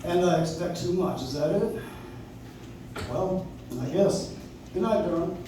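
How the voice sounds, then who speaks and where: A man's voice: sits high, measured pace. Male actor as sincere, pleading young lawyer for live radio drama. Heard distantly in a very reverberant room. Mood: earnest, hurt. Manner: earnest, pleading. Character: earnest, idealistic young lawyer and suitor. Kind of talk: old-time radio play acting on stage. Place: live stage in a coffee house. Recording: very echoey, distant audience recording, faint noise.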